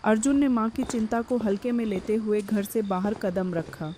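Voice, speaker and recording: A woman's voice: medium pitch, steady tone, moderate pace, dark tone, slight background noise. A woman giving scripted narration of a horror story as its narrator.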